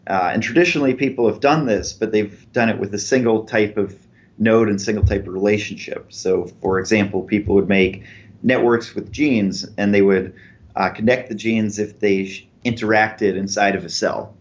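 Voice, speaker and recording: A man being interviewed about his research explains it step by step, giving examples. His voice sits fairly low. The podcast audio is muffled and lo-fi. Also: steady tone, moderate pace. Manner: clear, methodical explaining with examples. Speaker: researcher guest.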